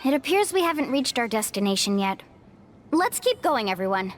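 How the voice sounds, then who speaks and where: An upbeat young guide. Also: female voice, fairly high pitch, sing-song, fairly quick. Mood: encouraging. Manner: bright, reassuring, leads others on. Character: young woman guide, upbeat, confident, encouraging. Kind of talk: video game voice acting. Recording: game audio with music underneath.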